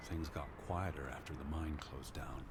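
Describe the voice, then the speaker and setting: 1920s American academic, male, low register, prim and earnest, careful reasoning, game dialogue over noticeable ambience. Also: steady tone. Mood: pondering. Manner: proper, measured, earnest. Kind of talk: naturalistic video game voice acting. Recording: clear background ambience noise.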